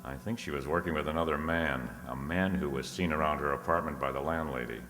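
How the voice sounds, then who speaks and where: Man playing a cool, bottom-deep detective, unhurried and wry, radio crime drama recorded in an echoing hall. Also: a bit bright. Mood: shrewd, deducing. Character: cool, shrewd, wry male private detective. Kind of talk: detective radio play acting.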